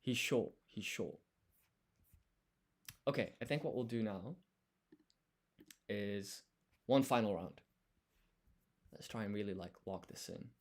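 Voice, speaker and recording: A man's voice: on the low side, slow pace, long pauses. A man livestreaming casually as he studies, thinking aloud and sounding out words syllable by syllable. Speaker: live streamer studying Japanese. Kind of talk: casual live study chat.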